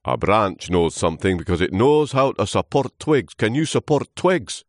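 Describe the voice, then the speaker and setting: A man's voice: on the low side, very animated, moderate pace, no room sound, clean studio sound, voice pushed up. A man voicing a grumpy, pompous old tree trunk in a children's story, groaning wearily and full of scorn. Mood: scornful.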